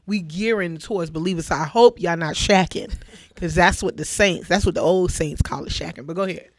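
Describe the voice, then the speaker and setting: A woman's voice: fairly low pitch, fairly quick, slight background noise. Laughing woman host, casual chat. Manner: laughs often, reads out viewer comments.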